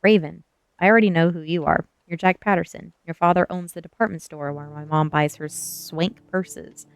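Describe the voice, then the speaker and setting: A woman's voice: fairly low pitch, fairly even intonation, moderate pace, dry recording, lightly acted. A woman reading the storybook dialogue of a bold, teasing twelve-year-old goth girl, confident and wry. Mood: cool, joking.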